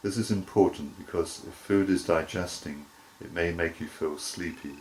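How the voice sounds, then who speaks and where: A man with a deep, low voice gives guided relaxation instruction as a yoga nidra teacher. He speaks in measured, deliberate phrases, pausing briefly between them. There is some faint background noise in the recording.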